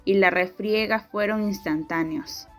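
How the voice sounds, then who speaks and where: A woman's voice: mid-range voice, unhurried, fairly bright tone. A woman reading scripted dramatic narration for a radio novel. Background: faint music.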